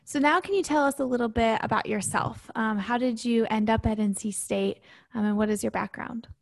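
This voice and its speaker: A woman's voice: on the high side, fairly quick, vocal fry. A woman from Michigan hosting a university podcast, reading a prepared intro and then interviewing a guest in an upbeat, earnest way. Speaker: podcast host.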